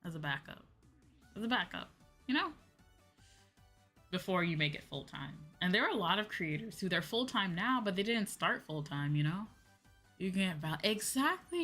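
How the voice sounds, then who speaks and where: A woman streamer chatting casually and answering viewers in an animated, conversational way, often repeating phrases for emphasis. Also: mid-range voice, husky. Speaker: VTuber streamer; high school teacher. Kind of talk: casual livestream chat, replying to viewers. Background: background music.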